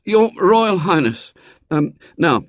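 A man's voice: high-pitched, big pitch swings, slow pace, no room sound, clean studio sound, voice pushed up, exaggerated voice. A man voicing an awkward schoolboy in a children's storybook, eager but out of his depth, hesitant and stammering as he blusters. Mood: hesitant, coaxing.

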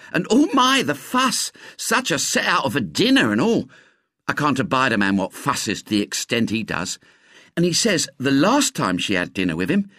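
A man voicing a fussy, gossiping working-class housemaid who chatters in a high voice. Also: a bit bright, no room sound, studio quality.

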